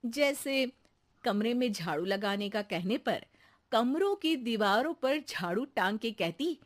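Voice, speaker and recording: A woman's voice: fairly high pitch, animated, measured pace. A woman reading scripted narration for a comic bedtime story.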